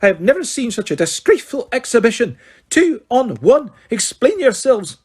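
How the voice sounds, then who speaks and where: A man's voice: high voice, big pitch swings, a little fast, a little mellow, breathy edge, pitched above the performer's own voice, full character voice. A man voicing a stern, strict older female professor who scolds in a clipped, furious way.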